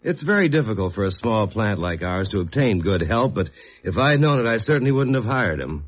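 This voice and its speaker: A man's voice: lowish voice, very animated, fairly quick. A man playing a genial, self-important company head with formal, polite manners in a mid-century radio drama. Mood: apologetic, guarded. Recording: dull old radio recording.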